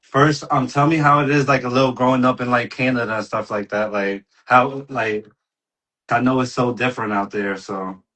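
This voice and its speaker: A man hosts a relaxed podcast interview in an ordinary mid-range voice. He feels his way through questions with lots of "um" and "like" and drops easygoing slang. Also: mid-range voice, poor audio. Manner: loose, halting, slangy with many "um"s. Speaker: podcast host. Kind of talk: casual interview.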